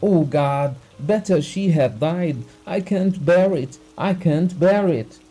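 A man voicing a distraught, betrayed gentleman in a dramatized audiobook, crying out in anguished, repeated exclamations. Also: on the high side, slow pace, faint music behind, voice pushed up. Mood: hysterical anguish.

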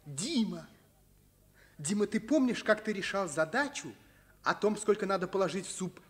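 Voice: medium pitch, moderate pace, lightly acted. A performer playing an eager, goading schoolboy, a loyal best friend, in a children's radio play. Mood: teasing. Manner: excitable, urging.

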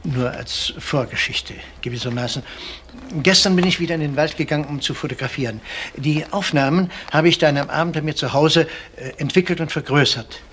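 An actor playing a courteous, hesitant elderly pensioner with a formal way of talking in a German crime radio play. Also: male voice, on the high side, fairly quick, fairly bright tone, slight background noise, natural voice. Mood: earnest, hesitant. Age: 60s or older. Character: polite, fussy old male pensioner and hobby photographer.

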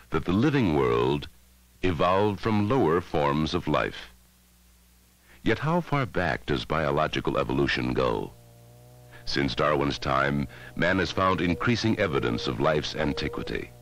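A man narrating a scripted educational film in a measured, dramatic way, on a 1960s film soundtrack. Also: a bass voice, a bit bright, dry recording, poor audio. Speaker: documentary narrator.

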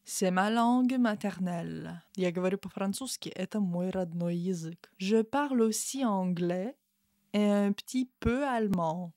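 A young woman gives a language lesson in a small room, close on a studio mic. Her voice is mid-range and the sound is dry, with no room echo. She says the example phrases slowly and clearly and moves through her explanations at a quicker pace. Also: mid-range voice, dark tone. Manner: slow, careful French examples between quick explanations. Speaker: French teacher. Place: small room with a window, indoors. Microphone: studio mic in front of her.

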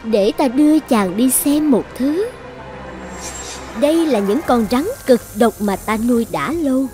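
A high-pitched young enchantress rules her island in a fairy-tale cartoon. She is possessive and sure of herself, sliding between sweet coaxing and threats, with music behind her. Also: animated, a little slow, warm tone. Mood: threatening. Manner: commanding, self-assured.

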